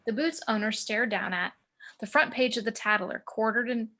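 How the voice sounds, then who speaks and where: A woman's voice: fairly high pitch, moderate pace, sharp tone. A woman giving scripted narration of screenplay action and scene directions at a table read. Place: remote video call.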